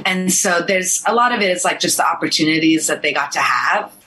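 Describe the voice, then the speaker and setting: A woman's voice: lowish voice, fairly quick, pushed and bright, faint music behind, low-quality recording. A young American woman, an actor whose first language was Farsi, chatting casually and rambling in an interview. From United States. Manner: chatty, rambling, says "like" a lot. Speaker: actor, guest.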